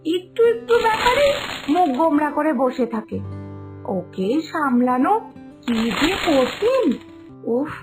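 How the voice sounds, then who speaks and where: A woman voicing a sensible elder sister-in-law in a cartoon story, gently scolding and reassuring. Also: high-pitched, very animated, measured pace, long pauses. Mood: fond exasperation. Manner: chiding, reassuring. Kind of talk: Bengali animated moral story dialogue. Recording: music behind the voice.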